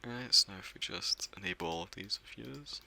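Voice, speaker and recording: A man giving a relaxed step-by-step software setup walkthrough, explaining as he clicks through with occasional fillers. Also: fairly low pitch, brisk, creaky, a little hiss or hum. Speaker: software tutorial presenter. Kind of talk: screen-recorded software walkthrough.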